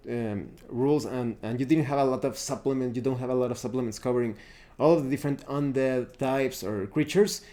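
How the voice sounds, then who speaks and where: A man giving a casual, unscripted tabletop game review, often pausing to search and think aloud. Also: mid-range voice, mellow. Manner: halting, searching, thinking aloud while browsing books.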